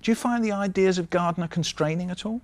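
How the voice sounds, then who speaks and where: A man's voice: high voice, quick pace. A man in his sixties with an English accent hosting a panel discussion in a conversational, questioning way. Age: 60s. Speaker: host.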